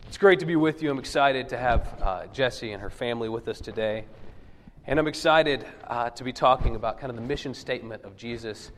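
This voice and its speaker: Pastor guy with a cold, husky voice, jokey chatty sermon, big reverby church. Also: brisk, a little hiss or hum. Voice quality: lowered, husky voice from illness. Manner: joking, chatty asides to the congregation. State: sick with a cold. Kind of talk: conversational sermon. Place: church sanctuary. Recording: long hall reverb.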